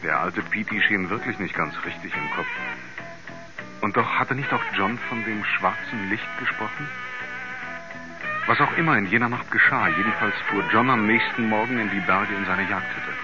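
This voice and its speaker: An actor playing a sober investigator who narrates and questions in a 1970s German radio drama. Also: male voice, on the low side, sing-song, normal speed, loud music behind the voice. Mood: grave, factual. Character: sober male investigator recounting a mysterious case. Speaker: narrator and interviewer. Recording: dull 1970s radio recording.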